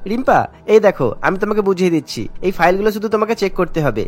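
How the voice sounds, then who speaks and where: A man's voice: high voice, lively intonation, very fast, faint music behind. A man voicing a greedy, glib young trickster in a cartoon, calculating as he talks. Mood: persuasive. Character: scheming young male trickster, smooth-talking and greedy. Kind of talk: Bengali cartoon dialogue.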